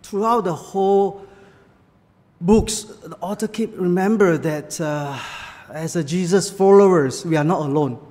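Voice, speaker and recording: A man from Malaysia whose first language is Chinese, preaching a sermon with personal stories in halting English full of fillers. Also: high-pitched, a little mellow, big-room sound. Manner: halting, many fillers, non-native English phrasing.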